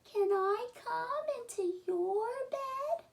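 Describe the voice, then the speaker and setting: A woman reading a storybook aloud, voicing a small frightened young male mouse who calls out anxiously in a squeaky voice. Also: lively intonation, slow pace, vocal fry, far from the mic, exaggerated voice. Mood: scared, pleading.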